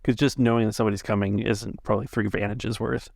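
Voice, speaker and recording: Male game master, casual narration, low gravelly voice, dry recording with no room. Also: level tone, fast, darkish tone, no echo.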